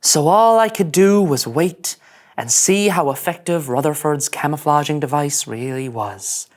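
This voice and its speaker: A man's voice: on the high side, lightly acted. A man at a table read playing a brooding, self-serious starship officer who narrates his log in a portentous manner. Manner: slow, portentous.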